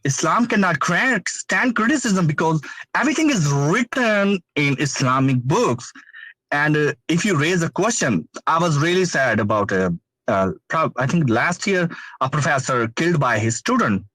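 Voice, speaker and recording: A man's voice: fairly high pitch, lively intonation, normal speed, pushed and bright, no echo, poor audio. A man whose first language is Urdu, calling in to a live stream and speaking earnest, hesitant English full of fillers. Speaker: caller, guest. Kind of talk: live stream call conversation.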